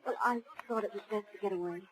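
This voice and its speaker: An actress playing an anxious, guarded young working woman in 1940s radio style, husky and hesitant. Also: mid-range voice, fast talker, natural voice, poor audio. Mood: nervous. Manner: hesitant, evasive.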